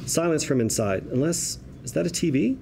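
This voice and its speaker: A man's voice: a little high, animated, brisk, creaky, mellow. A man reading stage directions at a script table read, with a vivid, wry storytelling manner. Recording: table read room with background noise.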